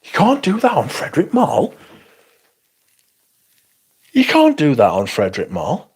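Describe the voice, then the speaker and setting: Man, livestream host, husky, lively pitch, sarcastic banter. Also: measured pace. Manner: sweary, sarcastic, banters with chat. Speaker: livestream host reading chat. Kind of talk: casual livestream chat.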